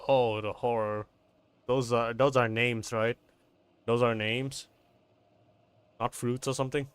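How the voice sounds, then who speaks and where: A man's voice: mid-range voice, fairly even intonation, slow, smooth. A man doing casual live gameplay commentary as a streamer, rambling, stammering and swearing freely. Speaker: game streamer.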